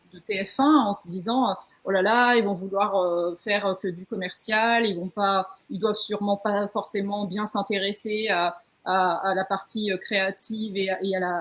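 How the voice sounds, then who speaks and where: A woman's voice: medium pitch, poor audio. A woman author recounting her experience in a panel discussion, repeating words as she searches for phrasing. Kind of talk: panel discussion, personal account.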